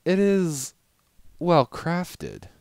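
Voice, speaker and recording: A man voicing a haughty, critical female noble pony in a storybook reading, measured and dismissive. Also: on the high side, huge pitch swings, vocal fry, a little mellow, clear, resonant tone, no room sound, studio quality, voice pushed up, heavily acted.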